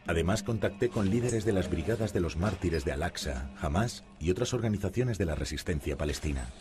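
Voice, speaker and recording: Deep guy calmly narrating his own story, music going behind. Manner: calm, measured first-person telling. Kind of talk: documentary narration.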